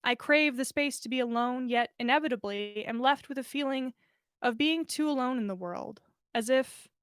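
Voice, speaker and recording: High-voiced woman reading a story aloud. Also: normal speed, fairly bright tone, clean tone, no room sound, clean studio sound. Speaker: podcast host reading fan fiction aloud. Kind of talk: expressive storybook narration.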